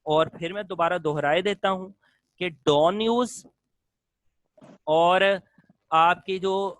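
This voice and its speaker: A male lecturer teaches in a steady classroom manner, building points through repeated, list-like phrases and switching to English terms. His voice sits a little high for a man, and the recording is dry and close. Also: slow, dry recording.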